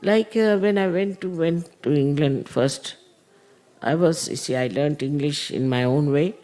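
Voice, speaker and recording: A middle-aged man gives an unhurried spiritual talk to followers, explaining with many "uh" pauses. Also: on the high side, darkish tone. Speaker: spiritual teacher.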